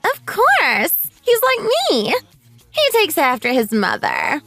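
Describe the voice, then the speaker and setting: A woman's voice: high-pitched, huge pitch swings, slow, sharp tone, no room sound, soft background music, pitched above the performer's own voice, heavily acted. A woman voicing a snobbish, bullying rich mother in a radio drama, haughty, sneering and exaggerated. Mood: mock innocent, boastful.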